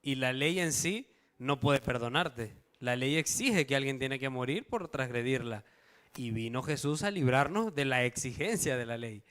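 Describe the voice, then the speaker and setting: A man's voice: mid-range voice, lively intonation, normal speed, a bit bright, smooth. A man preaching to a church congregation. He reads out verses, asks the congregation questions and checks in with them. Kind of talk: Bible study sermon to a congregation.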